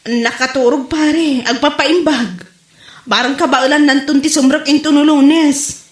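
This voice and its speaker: A woman's voice: high voice, fairly even intonation, unhurried. A woman acting a long-suffering, pleading wife and mother in a comedy drama, recorded in an echoey room. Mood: subdued, pleading.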